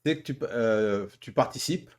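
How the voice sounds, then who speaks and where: A man's voice: medium pitch, unhurried, a crowd in the background. A man hosting a casual interview, speaking informally and often stumbling and correcting himself. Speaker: interviewer and host. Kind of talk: casual podcast-style interview.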